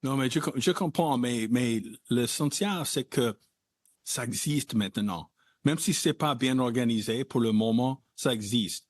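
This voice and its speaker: A man hosting a relaxed interview, his voice leaping up and down as he talks. His pitch sits at an ordinary middle for a man. The recording is dry and close, with almost no room sound. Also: measured pace, no echo. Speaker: podcast host. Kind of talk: conversational interview.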